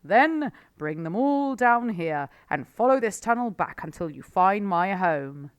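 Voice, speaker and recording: A woman voicing a sly, confident male fox who talks smoothly and persuasively in a storybook. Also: medium pitch, lively intonation, measured pace, dry recording, studio quality, pitched above the performer's own voice, close to an everyday voice.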